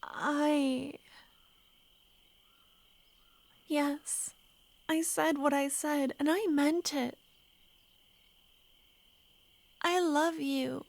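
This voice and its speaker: A woman voicing an ancient, lovesick female vampire who pleads softly and slips into whispers, in an ASMR roleplay. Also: high-pitched, fairly even intonation, takes it slowly, vocal fry, no echo, heavily acted. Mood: tender. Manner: soft, pleading, drifting into whispers.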